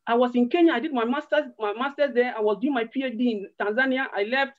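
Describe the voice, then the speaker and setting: A man's voice: extremely high pitch, quick pace. A man in his thirties with a Ghanaian accent, speaking earnestly as an interview guest and repeating words as he searches for them. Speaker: guest, organisation founder. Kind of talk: video-call interview. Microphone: laptop webcam on video call. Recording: muffled video-call audio.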